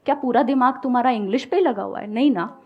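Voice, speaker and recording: A young woman speaking on stage, giving calm, coaxing advice to an audience with everyday examples. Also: a little high, quick pace, husky, highs cut off. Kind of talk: motivational talk and audience Q&A. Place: stage before a live audience.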